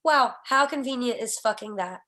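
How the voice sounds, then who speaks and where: A woman reading stage directions at a screenplay table read, with an expressive, wry delivery. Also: fairly high pitch, moderate pace.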